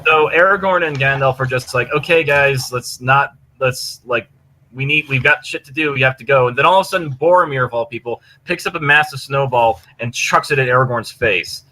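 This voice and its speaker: Bright-voiced man, rambling and enthusiastic. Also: mid-range voice, fairly quick, poor audio. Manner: rambling, enthusiastic, frequent swearing. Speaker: podcast co-host. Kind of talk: casual chat recommending fan fiction.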